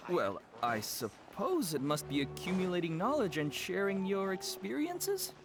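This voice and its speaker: A woman playing a polite young event organizer with a courteous, gently formal way of speaking. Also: lowish voice, very animated, unhurried, a crowd in the background. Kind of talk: video game voice acting.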